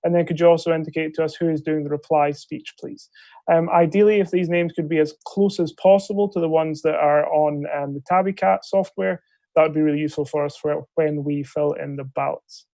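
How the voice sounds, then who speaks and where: A man's voice: on the high side, fairly even intonation, brisk, vocal fry, dark tone, dry recording. A man chairing an online debate on a video call, giving polite, hesitant instructions full of ums. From Scotland. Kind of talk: procedural briefing on a video call. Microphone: video call microphone.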